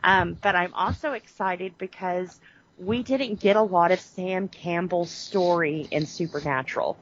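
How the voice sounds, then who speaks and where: A woman's voice: on the low side, unhurried, no echo, muffled recording. A woman co-hosting a podcast in casual chat, rambling and correcting herself as she goes, laughing often. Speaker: podcast co-host.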